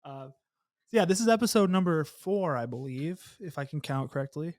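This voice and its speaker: A young man with a slightly high, slightly hoarse voice chats loosely on a close, dry studio mic. He rambles, restarts his sentences and drops casual swear words. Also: lively intonation, moderate pace, darkish tone, no room sound. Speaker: podcast host. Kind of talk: casual podcast chat. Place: small home studio with red lighting. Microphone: studio mic with headphones, on camera.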